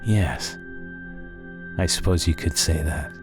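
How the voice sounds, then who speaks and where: A man acts out a chilling serial killer in an audio horror tale, with a rock-bottom deep voice. He speaks slowly and intensely, leaving ominous pauses. Clear music plays beneath him. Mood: quietly sinister. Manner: slow, deliberate, ominous pauses. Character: menacing male serial killer streamer, coldly intense artist. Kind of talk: horror audio story acting. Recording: loud music under the voice.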